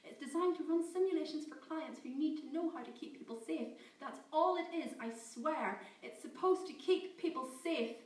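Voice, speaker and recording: Woman doing panicked captive scientist, high and pleading, roomy and distant. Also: fairly quick, lightly acted. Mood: frantic. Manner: panicked, pleading, rising to wails. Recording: roomy, distant, faint background hiss.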